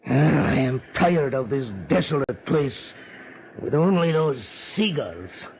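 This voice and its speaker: A man's voice: medium pitch, lively intonation, slow, creaky, long pauses, a little mellow. An actor playing a weary, nervy saboteur henchman in a 1950s-style radio drama, with a brooding delivery. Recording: muffled old radio recording.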